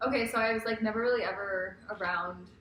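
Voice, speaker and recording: A woman's voice: mid-range voice, steady tone, fast, fairly bright tone. An American woman in her late twenties chatting casually and jokingly as she co-hosts a Q&A. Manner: joking, informal, teasing. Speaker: vlogger, co-host of couple's Q&A. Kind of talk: casual chat, Q&A. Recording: echoey room, faint background noise.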